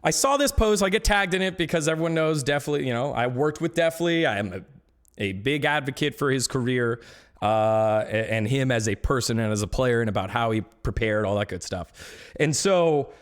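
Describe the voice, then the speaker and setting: A man's voice: medium pitch, lively intonation, normal speed. A man talking casually on a live stream about esports, ranting with growing indignation.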